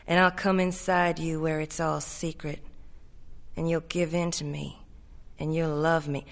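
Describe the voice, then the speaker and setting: A woman voicing a menacing, smooth-talking man who coaxes insistently and possessively in folksy speech, for a story reading. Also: deep voice, level tone, a little slow, pitched below the performer's own voice. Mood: coaxing, possessive. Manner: coaxing, insistent, folksy drawling grammar.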